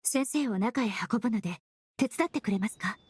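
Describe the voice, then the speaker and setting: A woman's voice: a little high, brisk, slight background noise, close to an everyday voice. An actress in a Japanese game dub playing a composed, analytical young woman investigator who speaks politely and methodically. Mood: calm, businesslike.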